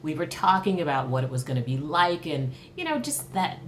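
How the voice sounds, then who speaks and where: A woman in her early fifties reflecting candidly on her own life in a thoughtful, halting way, as in a personal interview. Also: deep voice, sing-song, a little hiss or hum. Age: early 50s. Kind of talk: reflective personal talk to camera.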